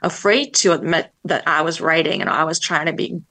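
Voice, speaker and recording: A woman's voice: sits low, a little fast, pushed and bright. A woman for whom English is not her first language, answering interview questions about writing in a hesitant way with many fillers and restarts. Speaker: children's book author, guest.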